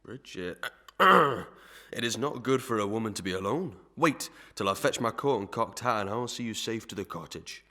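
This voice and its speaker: A man's voice: fairly low pitch, animated, normal speed, bright, forceful tone. A man playing a sententious rustic suitor in an audio melodrama, wry and mock-solemn, fond of sounding wise. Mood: courting. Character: sententious rustic man, proverb-spouting, slyly courting.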